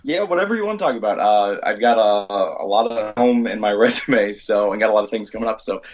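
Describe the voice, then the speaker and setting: A middle-aged American man talks casually as an interview guest. His voice is on the low side. The audio is a bit dull and band-limited. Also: brisk. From Arizona, United States. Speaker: interview guest, independent filmmaker.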